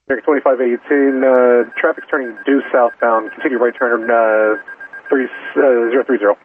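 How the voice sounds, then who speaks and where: A man's voice: medium pitch, fairly even intonation, fairly quick. A man working as an air traffic controller, giving clipped procedural instructions to aircraft over aviation radio. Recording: tinny narrowband radio with faint static.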